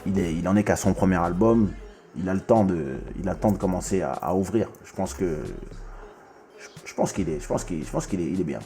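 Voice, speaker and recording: A man's voice: deep voice, a little fast, warm tone. A man from Congo hosting a casual chat, talkative and often stumbling and restarting his sentences. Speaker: podcast host.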